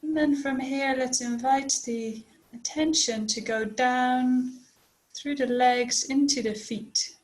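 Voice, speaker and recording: Woman, meditation teacher, slightly high pitch, very slow pace, gentle delivery, long pauses, guided body scan. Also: fairly even intonation, poor audio.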